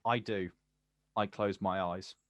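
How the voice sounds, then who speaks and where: A man acting a watchful, quietly wounded poet in a naturalistic stage play, speaking deliberately in terse, repeated phrases. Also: sits low, steady tone, no echo. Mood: wry.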